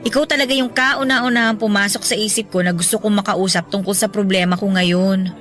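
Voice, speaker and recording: A woman's voice: mid-range voice, steady tone, fairly quick, fairly bright tone, faint music behind, lightly acted. A woman with a Filipino accent playing a stressed young breadwinner who confides her heartbreak plaintively in a Tagalog radio drama. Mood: troubled, frustrated.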